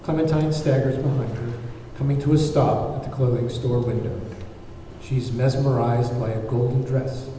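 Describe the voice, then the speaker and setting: A man's voice: mid-range voice, steady tone, normal speed, mellow. A man reading out scene directions as narrator at a screenplay table read. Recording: very echoey hall, far mic, faint noise.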